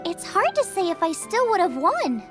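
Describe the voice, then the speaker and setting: Very high-pitched cheerful girl chef. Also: very animated, moderate pace, loud music behind the voice. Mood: excited. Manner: bubbly, exclamatory, eager. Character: cheerful, passionate, generous young girl chef. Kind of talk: video game character acting.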